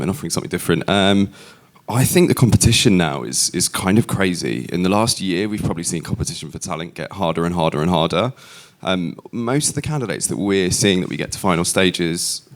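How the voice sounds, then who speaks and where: A man's voice: sits low, a little fast. A man from London speaking as a panelist at a live event, wry and candid as he talks through his points.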